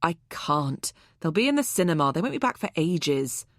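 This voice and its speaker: A woman reading an audiobook, voicing a calm, patronizing adult man. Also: a little high, moderate pace, no room sound, studio quality. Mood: weary. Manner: patronizing, unruffled.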